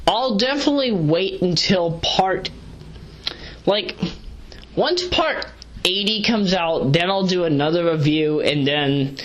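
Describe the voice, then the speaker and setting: A woman's voice: on the low side, takes it slowly, rough recording. Sleep-deprived, hyper woman rambling casually. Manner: digressive, self-correcting, slangy. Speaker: video reviewer, vlogger. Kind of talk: casual rambling audio review. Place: pacing around while recording.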